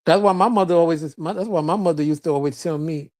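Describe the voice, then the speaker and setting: A live-stream host answers viewer comments in loose, unscripted chat. The voice sits in a middle pitch, and the talk is broken up by frequent laughter and casual swearing. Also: fast, a little mellow. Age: adult with a child, past teens. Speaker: livestreamer reading viewer chat.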